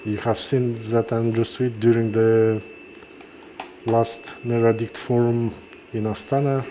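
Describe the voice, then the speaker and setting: Slow-talking man with a Kazakh accent. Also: mid-range voice, flat delivery, mellow, a little hiss or hum. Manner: halting, pausing mid-sentence. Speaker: neurosurgeon presenting a live case. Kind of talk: informal live-stream commentary.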